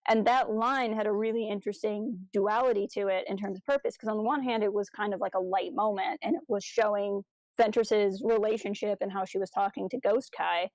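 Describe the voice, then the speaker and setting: A woman's voice: mid-range voice, fairly even intonation, brisk, darkish tone, no echo, rough recording. A woman co-hosting a podcast, chatting casually in an enthusiastic, analytical way about stories. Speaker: podcast co-host. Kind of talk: casual discussion of a fan audio drama.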